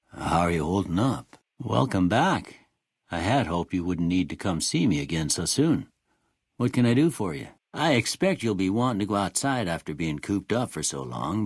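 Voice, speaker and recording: An actor playing a kindly, world-weary old small-town doctor in a video game, with a husky voice and a folksy, rustic American drawl. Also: male voice, on the low side, dry recording, clean studio sound, heavily acted. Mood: concerned, helpful. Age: older. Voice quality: husky, gravelly. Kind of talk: video game character dialogue.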